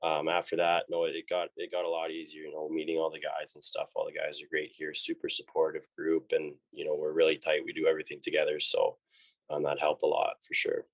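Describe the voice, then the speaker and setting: A man's voice: a bass voice, completely flat delivery, fairly quick, poor audio. A young man with a Canadian accent, a college hockey player answering interview questions in a laid-back way, full of fillers and restarts. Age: young adult.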